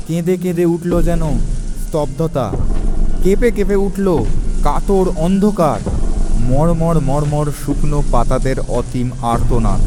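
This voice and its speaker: A man narrating a scripted story dramatically, in a suspenseful, theatrical manner with words repeated for effect. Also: fairly high pitch. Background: music.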